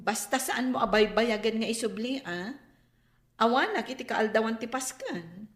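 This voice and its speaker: An actress playing a well-off, hospitable but careful friend, with a Filipino Ilocano accent, in a radio comedy-drama. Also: female voice, on the high side, animated, moderate pace, roomy sound, close to an everyday voice. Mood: wary.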